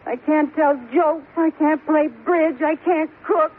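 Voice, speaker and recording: Whiny weepy housewife, very high voice, slow, old radio sitcom, feels useless. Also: female voice, steady tone, phone-quality sound. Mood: tearful self-pity. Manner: wailing, whiny, dramatic. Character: tearful, self-pitying young housewife, comically insecure. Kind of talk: 1950s radio sitcom acting. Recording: scratchy old radio broadcast, studio audience, noisy.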